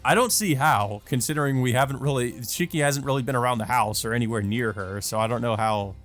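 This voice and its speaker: A man's voice: mid-range voice, brisk, a bit bright, faint music behind. A man hosting a let's play, reading a visual novel aloud with casual, amused, sometimes profane commentary.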